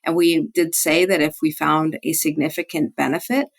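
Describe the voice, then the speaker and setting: A woman's voice: deep voice, steady tone, moderate pace, vocal fry. A woman physician being interviewed as a study author, explaining clinical research.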